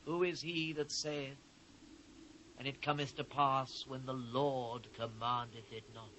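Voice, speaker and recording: High-pitched, anguished British biblical prophet. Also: male voice, a bit bright, rough recording. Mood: subdued, grave. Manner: solemn, declamatory, impassioned. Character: anguished male biblical prophet lamenting his ruined people. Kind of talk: dramatised scripture reading. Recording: faint noise, old cassette transfer.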